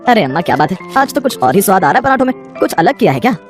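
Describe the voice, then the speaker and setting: A woman's voice: medium pitch, sing-song, very fast, soft background music, close to an everyday voice. A woman voicing a friendly, chatty young male customer at a food stall in a story. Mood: pleased.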